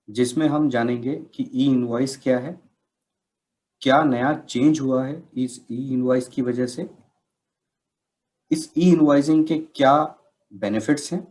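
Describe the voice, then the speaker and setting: A man with a medium-pitched voice presents a scripted training session. He speaks slowly and explains in measured phrases, setting out each point in turn. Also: darkish tone. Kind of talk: scripted instructional presentation with slides.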